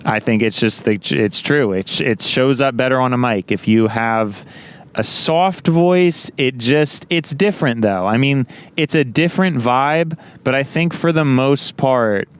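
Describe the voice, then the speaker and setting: A young man with a naturally loud voice, rambling casually to the camera with many restarts and self-corrections. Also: mid-range voice, a little slow, clear, resonant tone. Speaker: vlogger.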